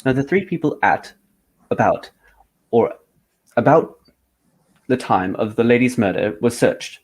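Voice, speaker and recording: A man's voice: medium pitch, expressive, measured pace, a little mellow. A man playing a shrewd, coolly confident detective in an amateur radio mystery play. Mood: confident, accusing.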